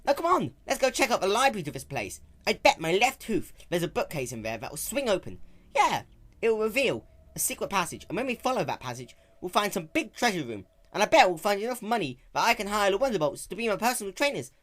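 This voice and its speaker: A woman voicing a brash, cocky tomboy pegasus pony in an excitable, slangy way for a dramatic fan-fiction reading. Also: medium pitch, quick pace, pitched above the performer's own voice. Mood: excited, boastful.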